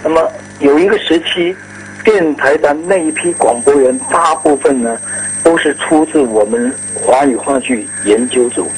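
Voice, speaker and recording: An older man, speaking reflectively and deliberately in short phrases in an interview. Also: a little high, slight background noise, poor audio. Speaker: veteran broadcaster interviewed.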